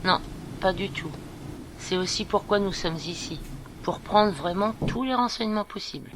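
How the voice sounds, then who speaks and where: A woman playing a brisk, encouraging and slightly bossy companion to a blind girl in an amateur audio drama. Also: mid-range voice, crowd noise, close to an everyday voice. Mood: polite, interested. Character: brisk, upbeat woman looking after a blind teenage girl. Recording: clear background noise.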